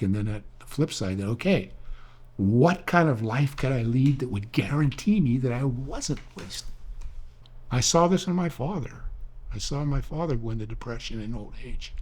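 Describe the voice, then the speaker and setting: A man's voice: medium pitch, darkish tone, husky. An older man, an author, telling rambling, earnest stories in a reflective talk, often restarting his sentences. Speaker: author and speaker.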